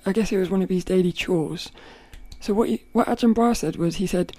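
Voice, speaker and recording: A woman with a rough, cracking voice, hosting a podcast and thinking aloud in a rambling way, often laughing. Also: medium pitch, a little fast, warm tone, no echo. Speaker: podcast host. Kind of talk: casual reflective monologue.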